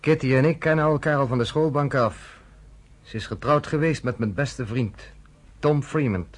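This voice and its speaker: A man plays a blunt American reporter in a radio drama. His voice is slightly husky and his delivery is sardonic and cutting, as if he is lecturing someone he does not respect. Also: medium pitch, moderate pace, lightly acted. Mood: earnest. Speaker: journalist.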